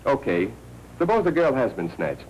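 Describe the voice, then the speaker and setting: A man's voice: mid-range voice, sing-song, normal speed, natural voice. An actor playing a jittery, snappish American newspaperman in 1940s film noir style, terse and slangy. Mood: urgent, insistent. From United States. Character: jittery, snappish newspaperman worried over a missing girl. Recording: noisy, crackly 1940s film soundtrack.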